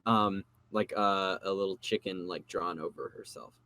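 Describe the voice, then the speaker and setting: A man's voice: low-pitched, fairly even intonation, moderate pace, pushed and bright, slight background noise. A man chatting casually and jokingly as a panelist on a fan podcast.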